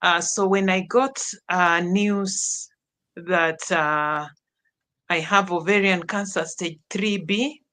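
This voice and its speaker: A woman with a Kenyan accent, a teacher, telling her story as an interview guest, slow and halting with many pauses. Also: fairly low pitch, fairly even intonation, sharp tone. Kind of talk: personal testimony in an interview.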